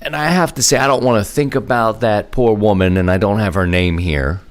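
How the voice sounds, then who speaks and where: A man hosting a podcast, talking casually and conversationally in halting phrases with pauses. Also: fairly low pitch. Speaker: podcast host. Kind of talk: casual solo podcast talk.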